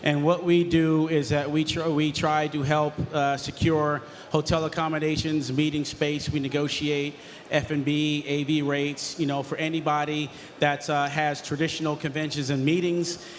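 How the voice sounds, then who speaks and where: A man's voice: fairly high pitch, flat delivery, normal speed, a little hiss or hum. A man speaking informally and chattily as a panelist. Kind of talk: conference panel talk.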